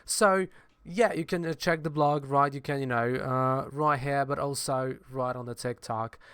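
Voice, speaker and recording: A man's voice: medium pitch, fairly even intonation, unhurried, fairly bright tone, clean tone, dry recording. Polish man rambling in a casual vlog. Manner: rambling, frequent fillers and self-corrections. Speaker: channel host.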